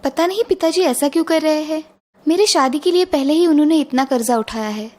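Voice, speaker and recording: High-voiced, polite young cartoon bride woman acting. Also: brisk, a little mellow, lightly acted. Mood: worried. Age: young adult. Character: polite, dutiful, quietly clever young daughter-in-law. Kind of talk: Hindi cartoon story acting.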